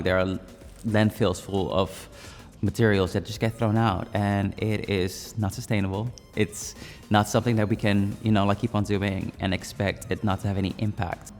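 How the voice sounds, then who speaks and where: A man from Brazil talks chattily and enthusiastically as a judge. His voice is low and gravelly and carries a roomy reverb, with faint music underneath. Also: darkish tone. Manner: enthusiastic, chatty, fills with "you know, like". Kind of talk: promo interview to camera.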